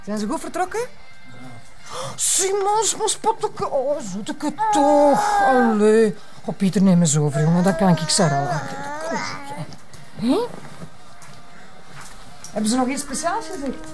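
A woman's voice: high-pitched, big pitch swings, unhurried, over music. An actress playing a busy mother of small children, coaxing and chiding with a Flemish accent in naturalistic television drama dialogue. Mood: coaxing, harried.

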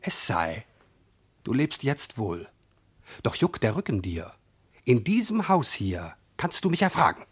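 An actor playing a haughty trickster god posing as a servant, mocking and menacing, in a classical verse radio drama. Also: male voice, mid-range voice, wildly swooping pitch, a little slow, pauses often, slightly rough, heavily acted. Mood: mocking menace.

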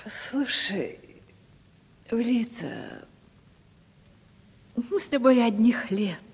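An imperious, vain ageing noblewoman, animated. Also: on the high side, very slow, breathy edge, full character voice. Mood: coy, needling. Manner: commanding, theatrical, condescending. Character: imperious, vain, coquettish ageing lady of a country estate. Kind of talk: 1970s Russian radio theatre comedy acting. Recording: reverberant studio radio play recording.

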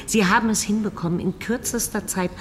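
An actress playing a stern, protective doctor in a radio drama, with a formal, reproachful way of talking. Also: female voice, mid-range voice, fairly quick, big-room sound, a little hiss or hum, natural voice. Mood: reproachful, concerned.

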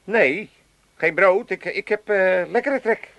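A man's voice: sits high, slow pace, a bit bright, dry recording. An actor playing a grumpy, scheming old father in an eighties comedy radio drama, grumbling and sarcastic. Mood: wheedling, hesitant. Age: older. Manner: grumbling, sarcastic, hesitant wheedling. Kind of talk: 1980s Dutch comedy radio drama acting.

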